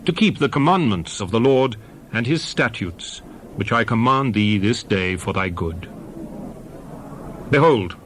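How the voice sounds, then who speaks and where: A man playing a solemn prophet who exhorts his people in a dramatized scripture reading, speaking in measured, emphatic, oratorical phrases. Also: mid-range voice, a little hiss or hum. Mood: solemn exhorting.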